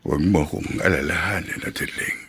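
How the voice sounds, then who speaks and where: A male actor playing a remorseful, resigned elderly father in a Filipino radio drama, with a gravelly, husky voice. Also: deep voice, fairly quick, a bit bright, full character voice. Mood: weary, confiding. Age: older. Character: ailing-sounding elderly father, remorseful, resigned, self-sacrificing.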